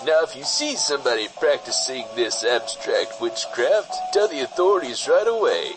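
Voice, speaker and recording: A man's voice: medium pitch, sing-song, moderate pace, over loud music, natural voice, poor audio. A man playing a slick, folksy washed-up film star giving a hammy, paternal parody public service announcement. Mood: smarmy, upbeat.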